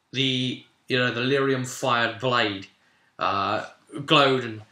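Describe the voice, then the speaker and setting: A British man with a very bright, sharp voice talks through a role-playing session in an echoey room. He tells it casually as the game master, stopping to explain with asides. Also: mid-range voice. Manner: informal storyteller, explanatory with frequent asides.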